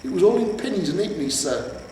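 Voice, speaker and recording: A man plays an earnest storybook police sergeant of the night watch, plodding and deliberate. The voice is raised a little in pitch and emphatic, set back in a very reverberant room. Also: expressive, warm tone. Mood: earnest reporting. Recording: roomy, echoing, distant mic with noise.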